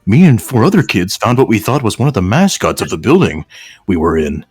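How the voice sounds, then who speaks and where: Man reading as low-voiced, mock-serious fan-fiction lion. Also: sing-song, fairly quick, darkish tone, slightly rough, dry recording, clean studio sound. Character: murdered human child reborn as a brooding lion. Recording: music behind the voice.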